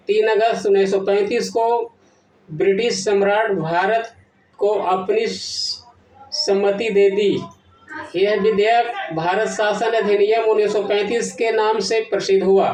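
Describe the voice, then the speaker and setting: High-pitched man narrating in an echoey room. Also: darkish tone. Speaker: presenter of an educational history channel. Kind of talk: scripted lesson narration. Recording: echoey room, distant from the mic.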